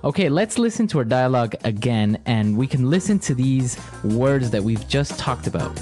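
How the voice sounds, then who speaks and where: A man teaching English on a podcast. He explains each phrase clearly and patiently in a mid-pitched voice, with soft music faintly behind him. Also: big pitch swings, normal speed. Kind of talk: lesson podcast.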